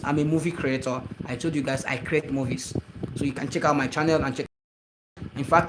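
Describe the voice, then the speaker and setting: A man's voice: mid-range voice, background noise. A man from eastern Nigeria with a Nigerian accent, a native Igbo speaker, hosting a casual live stream, repeating himself and greeting commenters by name.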